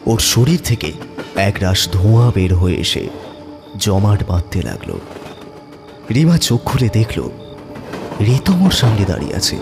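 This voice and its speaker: A man narrating a horror story in a suspenseful, theatrical manner with dramatic pauses. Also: on the low side, sing-song, over music.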